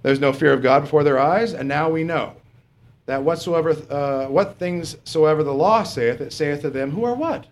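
A middle-aged man preaches in a plain, unpolished way, with a slightly high voice. He stops often on fillers and throws rhetorical questions at his listeners. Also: moderate pace. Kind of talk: sermon.

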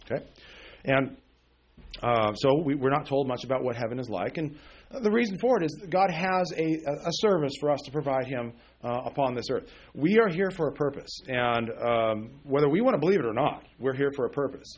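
A man's voice: mid-range voice, a little fast. A man in his forties teaching a sermon on a Bible passage, with many fillers and restarts, often checking in with "okay?". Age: 40s. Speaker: preacher.

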